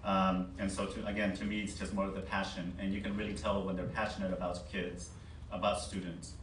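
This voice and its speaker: A man's voice: deep voice, flat delivery, brisk, fairly bright tone. A man speaking off the cuff as a candidate at a public forum. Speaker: school board candidate at a forum. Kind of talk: unscripted public forum answer. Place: meeting board room. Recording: echoey board room, distant mic, background noise.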